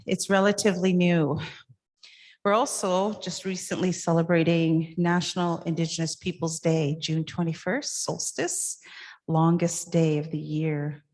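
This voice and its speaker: An older woman speaking at a podium microphone, giving a public address in a warm, chatty, self-deprecating manner. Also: on the low side, level tone, measured pace. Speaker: cultural consultant and speaker. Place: large event hall, at a podium. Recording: reverberant event hall.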